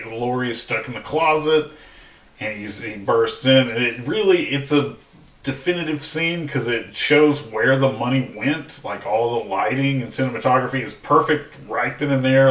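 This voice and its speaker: A man of medium pitch chats about film as a podcast panelist, often stopping to find the right words. He sits away from the mic in an echoey room. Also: a bit bright. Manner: halting, searching for words. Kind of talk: casual film discussion. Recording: distant mic, roomy echo.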